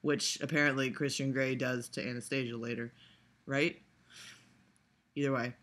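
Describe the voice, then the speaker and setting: A woman's voice: rumbling low, steady tone, moderate pace, a bit bright. Swearing, sarcastic young woman vlogger. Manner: profane, sarcastic, rambling, frequent laughter and fillers. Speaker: vlogger reacting to a trailer. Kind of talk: casual reaction commentary.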